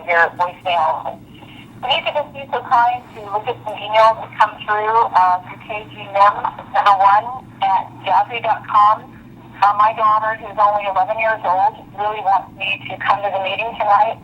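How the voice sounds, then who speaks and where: A middle-aged American woman leaving a halting, emotional, pleading personal message on a telephone voicemail. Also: mid-range voice. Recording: dull noisy played-back telephone voicemail.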